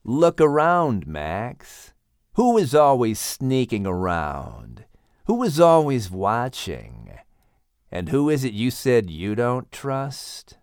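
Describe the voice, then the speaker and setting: A man voicing a loyal, wary animal in a children's story, speaking gravely and deliberately. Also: medium pitch, wildly swooping pitch, a little mellow, no room sound, clean studio sound, full character voice. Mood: grave, suspicious. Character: loyal, solemn, wary male animal friend.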